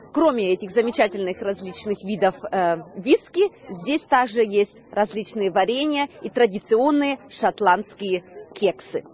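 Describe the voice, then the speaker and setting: A woman in her thirties presenting a news report on location outdoors into a handheld microphone, with slightly hesitant delivery. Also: on the high side. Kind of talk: on-location reporting, semi-scripted presenting to camera. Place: outdoor Christmas market.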